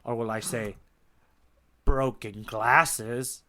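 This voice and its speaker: A man's voice: mid-range voice, steady tone, drawn-out words, bright, forceful tone. A man co-hosting a podcast, chatting casually and enthusiastically as he describes comic panels. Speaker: podcast co-host and tour guide.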